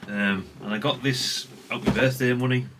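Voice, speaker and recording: A man's voice: mid-range voice, fairly even intonation, normal speed, very noisy. A middle-aged man from chats unscripted as a hobbyist vlogger, rambling and conversational with repeated catchphrases. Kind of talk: unscripted casual chat, showing an item.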